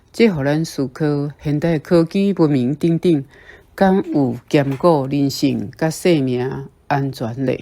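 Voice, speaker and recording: A woman's voice: low-pitched, warm tone. A woman speaking Taiwanese Hokkien, reading out her earnest reflections on a short story as a book club member. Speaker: book club reader sharing reading impressions.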